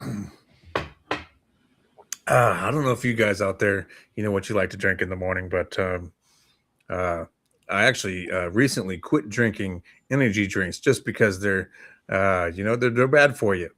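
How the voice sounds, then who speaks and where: A man co-hosting a casual morning talk show, speaking in an informal, rambling way with halting phrasing. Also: low voice, fairly bright tone.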